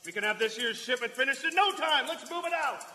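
An actor playing a brisk, commanding factory foreman in a live comic radio play. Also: extremely high pitch, animated, sharp tone, faint music behind, full character voice. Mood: urgent. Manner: insistent, commanding. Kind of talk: comic radio theatre acting. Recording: live hall reverb, faint noise.

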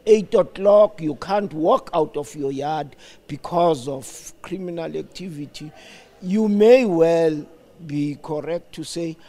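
An older South African man giving reflective interview answers in a deliberate, emphatic, explanatory manner. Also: on the high side, dark tone. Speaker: studio guest, former radio broadcaster.

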